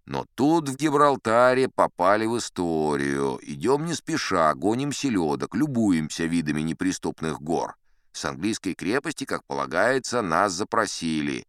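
Deep-voiced old captain spinning yarns. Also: male voice, sing-song, moderate pace, a bit bright, clear, resonant tone, dry recording, studio quality. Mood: self-satisfied, leisurely. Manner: conversational, sly, leisurely, addressing a young listener. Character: old sea captain spinning tall tales, folksy, self-assured. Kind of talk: dramatized audiobook storytelling.

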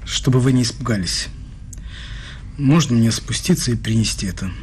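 A man's voice: medium pitch, normal speed, a little mellow, slight background noise, lightly acted. A man playing an awkward, evasive visitor in his thirties, hesitant yet probing, in radio drama dialogue. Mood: flustered, evasive. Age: 30s. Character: awkward, evasive young male visitor posing as researcher. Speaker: actor in a radio play.

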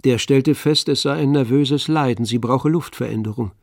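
A man narrating a literary radio play in a measured, composed storytelling manner. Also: medium pitch, gravelly, a little mellow, no room sound, clean studio sound.

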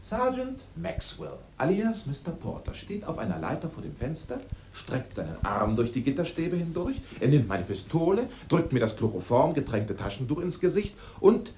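A man acting a sharp-witted amateur sleuth in a German radio drama, theatrical and assertive as he sets out his case step by step. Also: a little high, wildly swooping pitch, normal speed, slight background noise. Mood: showman-like.

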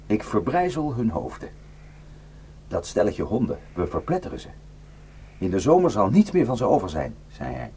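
An actor playing a haunted, guilt-ridden male defector in a Dutch radio drama, speaking in a halting, intense and bitter way. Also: fairly low pitch, darkish tone, husky, exaggerated voice. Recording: noisy radio play recording with hum.

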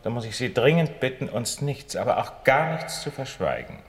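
An actor playing a shrewd, self-assured detective in a radio play, measured and courteous but insistent. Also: male voice, mid-range voice, expressive, breathy edge. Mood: stern, probing. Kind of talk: German radio play acting.

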